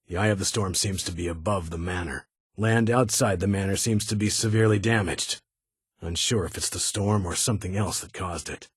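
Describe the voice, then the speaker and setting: Stern, husky military security chief. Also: male voice, deep voice, no room sound, clean studio sound. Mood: official reporting. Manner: clipped, authoritative, brusque. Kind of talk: video game character dialogue.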